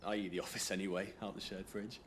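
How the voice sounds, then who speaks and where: A British man playing a broke, easygoing young writer, gently encouraging, in a naturalistic radio drama. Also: low voice, level tone, fast talker, a little hiss or hum.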